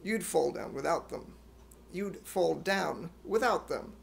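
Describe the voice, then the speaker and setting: A man voicing a suave, sly gentleman blackmailer with a mock-courteous manner and a put-on British accent, in radio comedy style. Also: fairly high pitch, animated, takes it slowly, vocal fry, slight background noise, heavily acted. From United States. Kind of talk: 1950s radio comedy acting.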